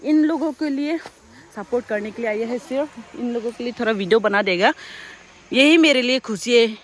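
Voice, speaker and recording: A young woman vlogging casually outdoors in the countryside, chatty and excitable, talking into a handheld phone. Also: on the high side, moderate pace. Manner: chatty, excitable, switches between loud exclamations and quiet asides. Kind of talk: casual vlog narration while walking outdoors. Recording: faint outdoor background noise.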